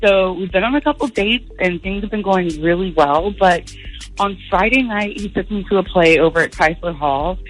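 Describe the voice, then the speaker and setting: A woman's voice: medium pitch, normal speed, faint music behind, poor audio. A young woman calling a radio show, telling her story in a casual, chatty way. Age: young adult. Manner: chatty, uses "like" often.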